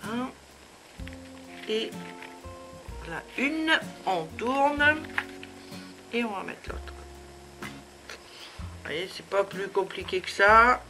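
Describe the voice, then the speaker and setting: Woman with a head cold, crafting tutorial host; slow, rambling, full of fillers, music behind. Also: fairly high pitch, animated, bright, forceful tone, poor audio. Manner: chatty, many hesitations and fillers. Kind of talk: casual crafting demonstration.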